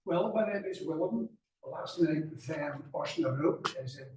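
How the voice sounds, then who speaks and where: An older man with a Dutch accent speaks at a gallery gathering, telling his story in a loose, unhurried way. His voice sits a little high for a man. It is picked up from a distance in an echoey room. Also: expressive, a little hiss or hum. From Netherlands. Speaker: exhibiting artist. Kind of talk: self-introduction at a gallery talk.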